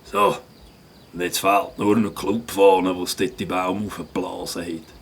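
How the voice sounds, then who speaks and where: A man playing a rowdy, bossy grown-up biker animal in a Swiss German children's audio drama, with a raspy voice and slangy talk. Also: deep voice, steady tone, measured pace, a little hiss or hum. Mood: grumbling. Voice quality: raspy, gravelly.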